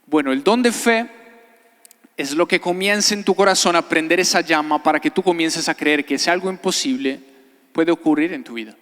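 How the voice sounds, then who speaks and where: A man's voice: fairly high pitch, normal speed, fairly bright tone. A man from Italy preaching to a congregation, conversational, repeating phrases and checking in with rhetorical questions. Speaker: pastor, guest preacher. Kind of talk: sermon to a live congregation. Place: large church hall before a congregation. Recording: reverberant hall, amplified preaching.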